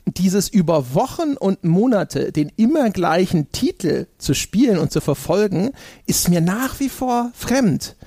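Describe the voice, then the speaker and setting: A man in his forties speaks in a casual, reflective podcast style. His voice sits a little high and has a marked gravelly fry. The recording is dry, with no room around it. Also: sing-song, unhurried, mellow, dry recording. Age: 40s. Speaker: podcast panelist, former film academy lecturer. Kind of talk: casual podcast discussion about video games.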